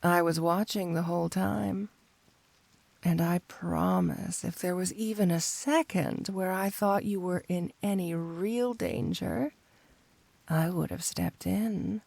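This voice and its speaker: A woman's voice: lowish voice, steady tone, takes it slowly, creaky, darkish tone, no room sound, exaggerated voice. A woman playing a flirty, smug supervillain cat burglar in an intimate ASMR roleplay, teasing and coaxing the listener with playful confidence. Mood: smug, admiring.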